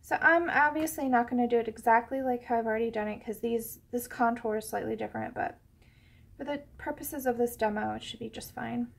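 A woman's voice: a little high, a little fast, creaky. A woman with a head cold, sounding a bit sniffly, chatting casually through a drawing tutorial, rambling with many ums and sometimes dropping to a whisper. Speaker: coloring tutorial host.

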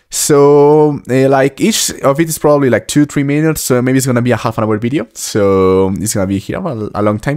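A man in his twenties, a native Spanish speaker, hosting a casual reaction vlog in an enthusiastic, halting, informal way. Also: mid-range voice, darkish tone. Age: 20s. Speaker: host of a reaction channel. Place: small furnished room at home. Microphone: close desk microphone, headphones worn.

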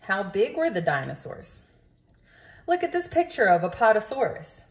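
A woman reading a children's book aloud in a deliberate, expressive way, as if speaking directly to young listeners. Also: mid-range voice. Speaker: storybook reader. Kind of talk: children's read-aloud narration.

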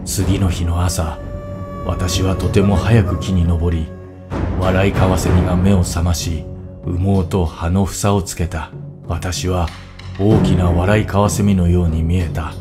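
Guy voicing a low solemn warrior bear, measured storytelling, game cutscene with big music. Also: flat delivery, close to an everyday voice. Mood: solemn, brooding. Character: calm, scheming male bear warrior, recounting his plans. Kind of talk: Japanese scripted cutscene narration.

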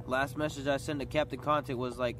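A man's voice: mid-range voice, level tone, fairly quick. A young adult man livestreaming on a phone, ranting in a profane, combative, aggrieved way. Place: outdoors on a city street at night. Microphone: phone at arm's length. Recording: noisy outdoor phone livestream, street noise. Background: street and vehicle noise.

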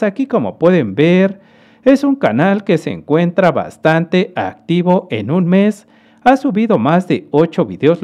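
Male host, fairly high, animated delivery; friendly explainer for screen-capture commentary. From Mexico. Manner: friendly, explanatory, addresses viewers as dear friends. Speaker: YouTube earnings analyst host. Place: voice-over to a screen recording.

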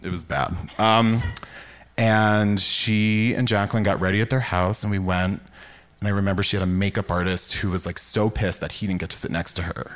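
A man's voice: lowish voice, fairly even intonation, moderate pace, a bit bright. A man casually telling a rambling, gossipy story as a podcast guest on a theatre stage. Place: on stage in a theatre before an audience. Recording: noisy live theatre recording.

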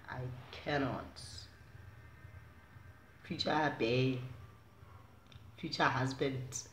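A young South African woman with a low voice, chatting casually to the camera in a small room at home, animated and chatty. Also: slight background noise. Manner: chatty, animated, gestures while talking. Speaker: vlogger. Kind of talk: casual direct-to-camera chat. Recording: echoey room.

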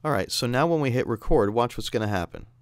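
A man's voice: medium pitch, lively intonation, fast talker, very noisy. A man narrating an instructional screencast in a conversational, explanatory way. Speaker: audio software instructor.

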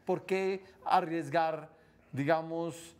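Probing Colombian TV interviewer. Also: male voice, on the high side, fairly even intonation, clear, resonant tone. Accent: Colombian Spanish. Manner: measured, probing questions. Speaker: TV host interviewer. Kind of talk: studio interview questioning. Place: TV studio set. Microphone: lapel mic.

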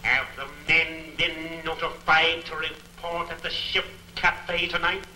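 Male villain, 1940s serial: sharp, forceful, slow menace, echoey humming old soundtrack. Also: a little high, little pitch movement, soft background music, exaggerated voice. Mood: commanding. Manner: slow, deliberate, imperious. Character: invisible male villain mastermind, commanding and menacing. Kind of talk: 1940s film serial acting.

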